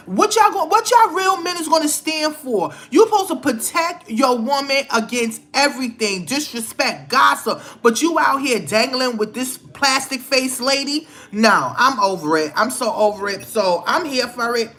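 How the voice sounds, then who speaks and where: A woman's voice: high-pitched, animated, moderate pace, fairly bright tone. A woman giving blunt, scolding celebrity gossip commentary in a vlog, with emphatic outbursts. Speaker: vlogger.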